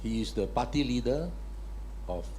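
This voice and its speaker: A man's voice: mid-range voice, animated, moderate pace, mellow, a little hiss or hum. A man acting as emcee and introducing the next speaker at a press conference.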